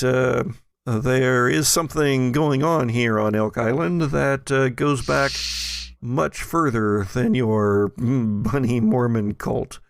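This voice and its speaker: A man narrating as game master in a tabletop role-play, halting, with many fillers. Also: medium pitch, vocal fry, no room sound, studio quality.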